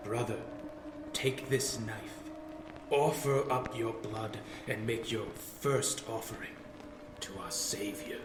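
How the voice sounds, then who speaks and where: An actor playing a grave, solemn officiant of a secret brotherhood in an audio drama, speaking in a measured, ominous way. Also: male voice, lowish voice, background noise. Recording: echoey room under loud music.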